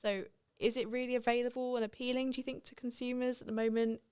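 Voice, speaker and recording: A woman hosting a podcast, chatting enthusiastically and adding quick back-channel agreement. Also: a little high, little pitch movement, darkish tone, smooth. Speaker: podcast host. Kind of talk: casual interview chat.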